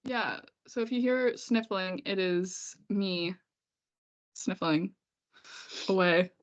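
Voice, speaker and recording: A woman co-hosting a casual podcast, congested and sniffly with a cold, chatting quickly with frequent laughs and sarcastic asides. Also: mid-range voice. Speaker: podcast co-host. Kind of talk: casual chat about hockey.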